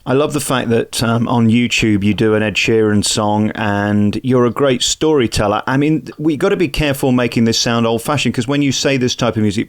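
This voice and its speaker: Radio host guy laying on the compliments, medium voice. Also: medium pitch. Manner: gushing with compliments. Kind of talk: celebrity interview.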